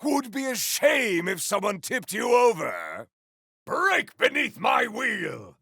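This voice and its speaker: An actor voicing a fierce, honorable cyborg warrior in a video game, speaking in a theatrical, grandiose, gravelly way. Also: male voice, sits high, huge pitch swings, slow pace, pushed and bright, no room sound, shouting, clean studio sound, heavily acted. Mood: battle fury. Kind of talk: video game combat barks.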